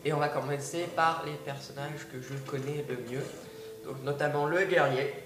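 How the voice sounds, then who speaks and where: A man's voice: on the high side, fairly even intonation, normal speed, far from the mic. A man with a cold, hesitantly talking through a card game with lots of fillers and restarts. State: has a cold. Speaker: gaming video creator. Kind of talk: casual gameplay commentary. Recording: echoey room, off-mic, music behind. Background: background music.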